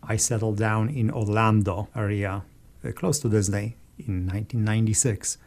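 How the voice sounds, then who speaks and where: A man from Poland with a Polish accent, a guest organist calmly explaining things in a radio interview. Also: fairly low pitch, normal speed.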